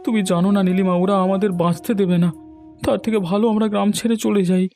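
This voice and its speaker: Man acting an earnest, high-voiced humble villager. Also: steady tone, fast talker, mellow, soft background music. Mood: hurt, despairing. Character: aggrieved, humble young villager, earnest underdog. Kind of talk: cartoon dialogue.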